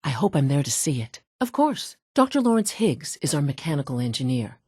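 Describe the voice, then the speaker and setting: An actress voicing a poised, businesslike woman scientist in a video game, speaking in a measured, formal, matter-of-fact way. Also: low-pitched, very animated, a little mellow, husky, dry recording, studio quality, exaggerated voice. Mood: composed, informative. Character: poised, businesslike middle-aged woman scientist running an underground facility. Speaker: video game character, facilities division head. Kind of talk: scripted video game dialogue.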